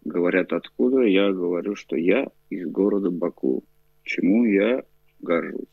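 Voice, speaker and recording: Halting low-voiced man, dry dull sound. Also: creaky, slightly rough, dry recording. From Azerbaijan. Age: 40s. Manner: hesitant, halting with many fillers. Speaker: interview guest. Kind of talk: casual interview.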